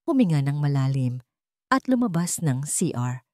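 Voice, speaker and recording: A woman's voice: low-pitched, big pitch swings, moderate pace, mellow, no echo, studio quality. A woman reading a scripted dramatic story as its narrator.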